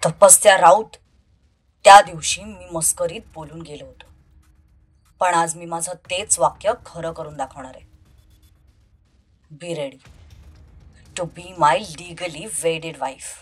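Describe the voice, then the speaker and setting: A woman voicing a menacing, possessive young male villain who speaks in a slow, commanding, coldly threatening way in an audio love story. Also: fairly low pitch, fairly even intonation, slightly rough, pitched below the performer's own voice. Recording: music under the voice.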